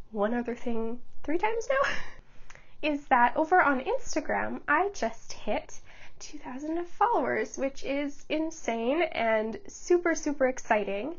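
A woman in her twenties hosting a knitting podcast, chatting casually to camera from a small home studio, a little stuffed up from a cold, talking quickly and stumbling over her words with frequent laughs. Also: sits high, highs cut off. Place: small home studio room under studio lights.

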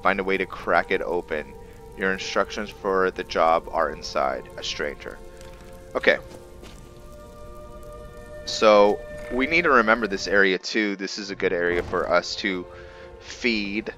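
A man giving roleplay game commentary, musing and pausing often as he talks. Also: sits low, bright, forceful tone, soft background music. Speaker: gameplay video host. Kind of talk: roleplay game commentary with some reading aloud.

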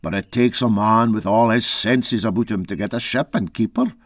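A man voicing a garrulous, boastful old sea captain with a Scottish Highland accent in a storybook reading. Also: normal speed, no echo, clean studio sound. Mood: cocky. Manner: garrulous, folksy, emphatic.

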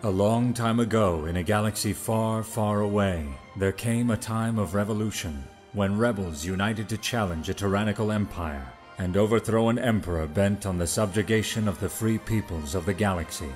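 A man narrating a script dramatically, in a solemn, measured manner. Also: lowish voice, darkish tone. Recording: music behind the narration.

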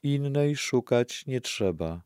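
A man's voice: medium pitch, animated, slow pace, very noisy. A man giving a solemn scripted narration for an audio play.

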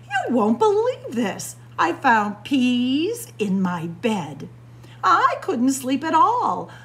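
Woman voicing a spoiled, high-pitched complaining princess. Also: wildly swooping pitch, takes it slowly, a bit bright, heavily acted. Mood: indignant. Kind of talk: storybook read-aloud.

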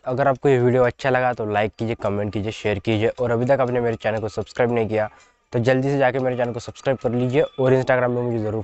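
A man's voice: medium pitch, a little mellow, smooth, dull audio. Chatty young man giving fitness tips. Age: 20s. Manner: chatty, repetitive, addresses viewers as guys. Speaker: fitness vlogger. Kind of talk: casual direct-to-camera advice. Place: outdoors in front of trees. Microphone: lapel mic.

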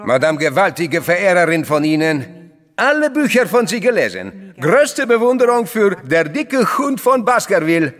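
An actor playing an eager, blunt Russian go-between in an audio drama, with a Russian accent and broken, emphatic grammar. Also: male voice, high-pitched, very animated, moderate pace, a bit bright. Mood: effusive. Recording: long hall reverb, music underneath. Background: music.

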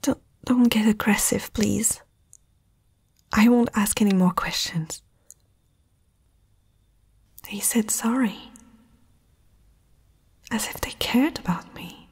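A woman voicing a young princess, proud yet uneasy, in a hesitant breathy whisper for an ASMR fantasy roleplay. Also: mid-range voice, a little mellow, no echo, full character voice. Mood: anxious, questioning. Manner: hesitant, slow, intimate.

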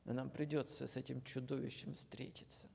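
A man preaching a sermon, slow and earnest, often dropping to a hushed near-whisper at the ends of phrases. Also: mid-range voice, flat delivery, creaky, slightly rough. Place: church hall. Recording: long hall reverb.

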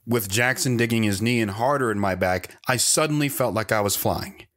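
A man's voice: fairly low pitch, moderate pace, a bit bright, lightly acted. A man playing a wanderer recounting his hard life in first-person audio drama narration. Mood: reflective.

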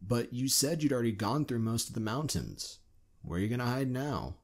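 A man's voice: mid-range voice, big pitch swings, brisk. A man playing a blunt, inquisitive male dragon outlaw in an audio drama, speaking emphatically. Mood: incredulous.

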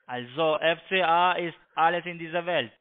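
A man with a foreign accent, not a native speaker, pushing a halting, repetitive sales pitch over the phone as a call-centre agent. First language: not German. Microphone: telephone. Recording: tinny narrow phone line.

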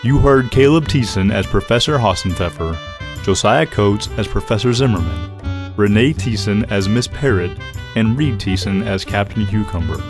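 A man reading the closing credits as the show's announcer in a steady, formal manner. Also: on the low side, normal speed. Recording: background music.

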